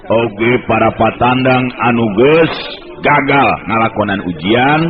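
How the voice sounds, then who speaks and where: A male storyteller voicing an excited contest announcer who proclaims the winner at the top of his voice. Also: medium pitch, natural voice. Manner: shouting, proclaiming. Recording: lo-fi old radio recording.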